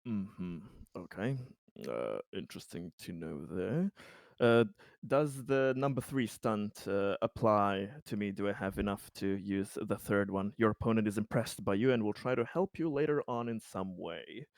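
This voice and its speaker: A man with a fairly low voice talks casually as a player at a tabletop game. He is hesitant and restarts his phrases often. The sound is close and dry. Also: animated, a little slow, creaky, no room sound. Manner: hesitant, restarting, often breaking into nervous chuckles.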